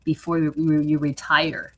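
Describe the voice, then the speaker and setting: A woman's voice: deep voice, little pitch movement, normal speed, low-quality recording. A woman hosting an interview, asking questions and giving frequent short replies of support. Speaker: host.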